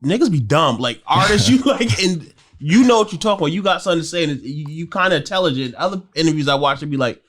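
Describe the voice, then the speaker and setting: A man's voice: on the high side, animated, fast talker, few pauses, a bit bright. A man hosting a casual interview, blunt and joking with his guest. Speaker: host.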